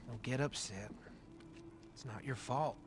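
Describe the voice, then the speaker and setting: An actor playing a charismatic, quietly menacing cult leader in a video game, calm and coaxing with a comforting, soft-spoken voice. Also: male voice, mid-range voice, measured pace, a little hiss or hum, lightly acted. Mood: soothing, controlled. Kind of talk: naturalistic video game cutscene acting.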